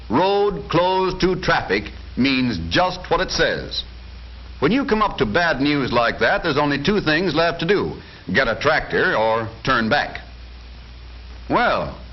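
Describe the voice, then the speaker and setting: A man with an American accent delivers scripted documentary narration in the style of a 1940s newsreel announcer. His pitch is mid-range and moves around a lot, with a jaunty, folksy turn to the phrasing. The sound is dull and lo-fi, as on a vintage film soundtrack.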